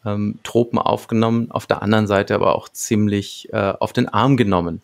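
Man in his thirties, lowish voice, gravelly fry, thoughtful analytical podcast talk, hesitant phrasing. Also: steady tone, brisk. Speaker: podcast co-host. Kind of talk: casual analytical discussion. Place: small book-lined home room, indoors, remote video call. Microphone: large desk microphone close up, with headphones.

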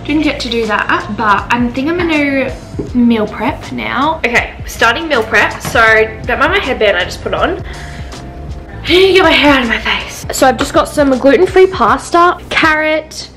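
A woman's voice: high-pitched, expressive, a little slow, a bit bright. A young woman in her twenties chatting casually to a handheld camera in her vlog, upbeat and rambling, with music playing under her voice. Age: 20s. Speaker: vlogger. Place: home rooms indoors and windy beach park outdoors. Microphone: handheld camera close to face.

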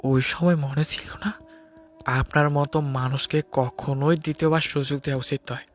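A man playing a stern, commanding investigator with a cold, vengeful streak in an audio drama, speaking deliberately. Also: a little high, steady tone, natural voice. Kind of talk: dramatised audio love-crime story. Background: music.

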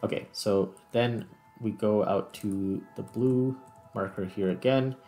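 A man with a fairly low voice talks through a game he is playing. His delivery is casual, as he thinks each step aloud. Faint music plays behind him. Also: slow, warm tone. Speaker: gaming video host. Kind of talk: casual gameplay commentary.

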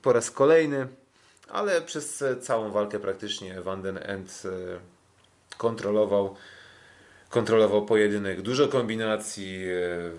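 A man hosts a sports podcast. He speaks casually and haltingly, often doubling back on words as he recaps. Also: on the low side, a little mellow. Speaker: podcast host.

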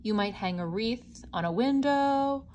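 A woman reading a picture book aloud to young children, explaining simply like a teacher, with expressive stretched words. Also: fairly high pitch, a little slow, slight background noise. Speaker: storybook read-aloud presenter.

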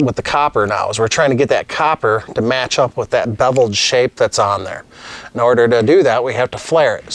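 A middle-aged master plumber giving a casual, practical tutorial on a lapel mic while he works with tools at a desk. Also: medium pitch. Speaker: master plumber teaching on his channel. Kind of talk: hands-on tutorial demonstration. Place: indoor studio set at a desk.

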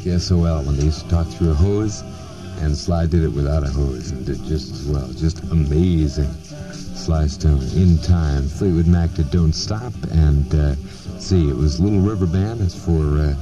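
Really deep voiced american guy djing on the radio, casual chat, music behind him. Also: moderate pace.

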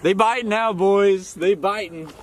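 Excitable young man fishing outdoors. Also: sits high, measured pace. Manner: excitable, laughing, friendly trash talk. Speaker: fishing vlog host. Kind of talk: casual chat while fishing. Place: small boat on a lake, outdoors.

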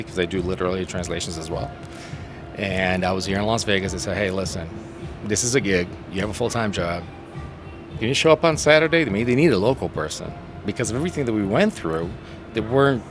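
A man's voice: on the low side, a little hiss or hum. A middle-aged man from southern Brazil, a native Portuguese speaker, telling stories in a casual interview on a rooftop, talking into a handheld mic with many restarts. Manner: animated, rambling storyteller with many restarts. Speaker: interpreter guest. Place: outdoor rooftop terrace, city traffic behind. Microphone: handheld mic held by interviewer.

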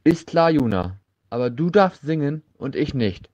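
A cheeky brother from a radio play, acted by a man. He teases in a medium-pitched voice, with slightly muffled sound. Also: lively intonation, normal speed, darkish tone, natural voice. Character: cheeky teasing brother of a teenage girl. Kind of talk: German audio drama acting.

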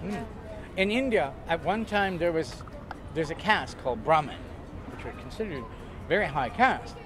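An older man with a slightly high voice spins chatty, theatrical stories. He talks outdoors on a pier into a close camera mic. Clear traffic and city noise run behind him. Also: lively intonation, moderate pace. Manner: chatty, theatrical, jokey with bursts of loud laughter. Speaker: interviewee. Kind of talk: casual storytelling.

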